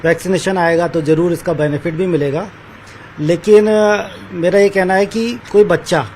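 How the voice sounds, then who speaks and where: A man giving his opinion in an unscripted interview, pausing to find words. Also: high voice, steady tone, darkish tone, poor audio. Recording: street interview with faint traffic noise.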